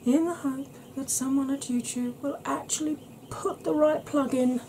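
A woman in her thirties chatting to camera at home in a soft ASMR style, rambling and often dropping into whispers. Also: sits high, steady tone, moderate pace, a little hiss or hum, low-quality recording. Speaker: beauty vlogger. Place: small cluttered room at home.

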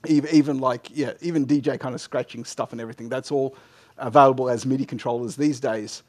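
A middle-aged man answering technical questions informally as a conference speaker, in a halting way with frequent false starts. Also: mid-range voice, steady tone, a little mellow. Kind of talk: informal technical Q&A after a talk. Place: indoor lecture room, at a lectern. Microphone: headset microphone.

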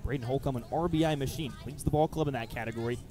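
A man's voice: medium pitch, rapid-fire, mellow. A man calling live baseball play-by-play for radio, mixing clipped phrases with detailed description.

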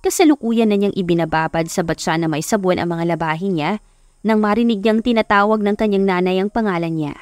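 A woman's voice: medium pitch, fairly quick, a little mellow, clear, resonant tone, no echo. A woman with a Filipino accent narrating a scripted romance story as an audiobook. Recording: music behind the voice. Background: background music.

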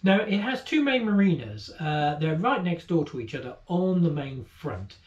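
A man's voice: a little high, very animated, normal speed. A middle-aged man co-hosting a sailing channel, explaining a port in casual chat from his boat cabin. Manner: chatty, explanatory, trailing off at line ends. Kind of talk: casual travel guide chat. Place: boat cabin, seated indoors. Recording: echoey, dull lo-fi sound.

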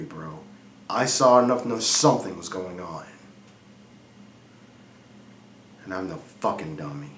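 A man's voice: fairly high pitch, sharp tone, recorded from a distance, pitched above the performer's own voice. Man acting a jealous, volatile husband, echoey room. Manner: profane, brooding, emphatic. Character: jealous, obsessive, volatile husband ranting to his brother. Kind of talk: dramatic stage monologue. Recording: echoey room with faint noise.

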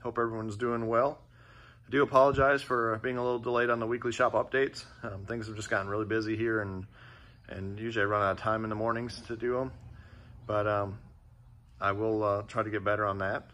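A man who runs a fabrication shop, casually walking through the projects in his large indoor shop in a relaxed, plainspoken way, with many "uh"s and "um"s, recording on a handheld phone. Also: lowish voice, a little fast.

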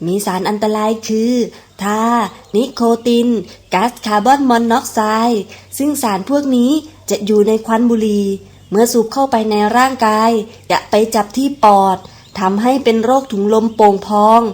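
A woman narrating an educational cartoon for children, explaining calmly and clearly. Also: on the high side. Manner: calm, explanatory.

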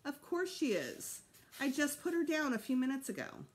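A woman's voice: high-pitched, very animated, normal speed, voice pushed up. A woman voicing an anxious, easily exasperated mother in a children's audiobook, emphatic and dramatic. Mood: panicked.

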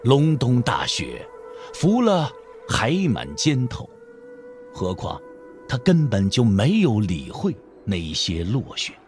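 A man's voice: medium pitch, wildly swooping pitch, takes it slowly, a little mellow. A man narrating a dramatic audio drama as a scripted storyteller. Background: background music.

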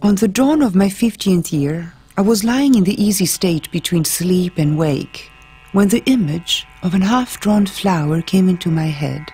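A woman's voice: lowish voice, measured pace, dark tone, slightly rough. A woman reading an audiobook as an earnest twenty-eight-year-old island woman writing an intimate letter. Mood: wondering, earnest.

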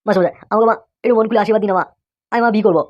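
A woman's voice: mid-range voice, very fast, dull audio, natural voice. A woman voicing a lazy, whiny schoolboy rascal in a comic cartoon, pleading and full of exclamations.

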